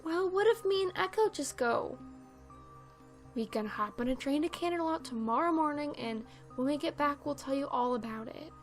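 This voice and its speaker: A woman voicing an anxious, stubborn little girl pony in an animated cartoon drama, youthful and protesting. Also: high-pitched, moderate pace, soft background music. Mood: pleading.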